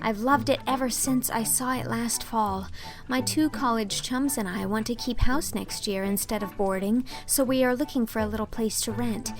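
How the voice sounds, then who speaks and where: A woman voicing an earnest, impulsive college girl in an audiobook, with a gentle, hesitant and heartfelt delivery. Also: fairly high pitch, normal speed, lightly acted. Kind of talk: audiobook character voice.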